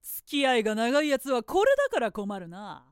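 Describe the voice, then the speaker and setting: A woman's voice: fairly high pitch, huge pitch swings, normal speed, clear, resonant tone, dry recording. A woman playing a sly, self-assured, teasing museum boss in a radio drama, speaking in a lofty, amused, commanding way. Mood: cool, sly. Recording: studio drama with background music.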